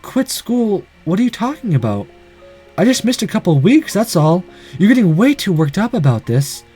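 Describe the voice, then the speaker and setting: A man voicing a shy, defensive teenage girl with a soft, hesitant manner in a visual novel. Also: a little high, sing-song, a little fast, slightly rough, soft background music. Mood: puzzled.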